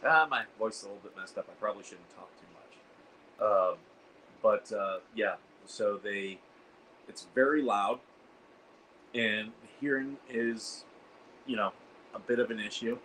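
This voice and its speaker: Hoarse man chatting on a livestream. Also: fairly low pitch, fairly even intonation, a little slow, long pauses, pushed and bright, a little hiss or hum, rough recording. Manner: rambling, reads chat aloud, frequent fillers. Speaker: host of a veterans livestream. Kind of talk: casual livestream chat. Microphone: iPad.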